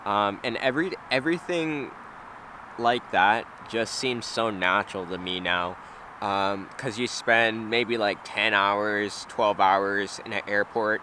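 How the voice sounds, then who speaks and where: A man's voice: fairly low pitch, fairly even intonation, slow, background noise. A young man of about nineteen, chatting casually and unscripted as a vlogger, rambling and hesitant with plenty of slang. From United States (Wisconsin). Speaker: travel vlogger. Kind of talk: casual unscripted vlog chat.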